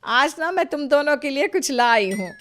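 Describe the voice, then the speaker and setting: Bossy older mother-in-law for a cartoon moral tale, acted by a female narrator: high-pitched, scolding, jealous, grumbling. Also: lively intonation, moderate pace. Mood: calling out. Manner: scolding, grumbling, forceful delivery. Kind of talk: animated moral story character voice.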